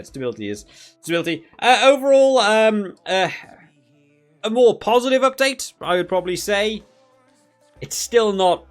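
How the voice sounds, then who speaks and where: A man giving casual, unscripted review commentary as a gaming video creator, thinking aloud and softening his opinions in a conversational way. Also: medium pitch.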